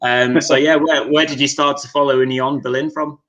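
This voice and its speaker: Podcast host guy, bright sharp tone, kinda flat delivery, lots of ums. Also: medium pitch, brisk. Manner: rambling, with many fillers and restarts. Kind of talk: casual podcast chat.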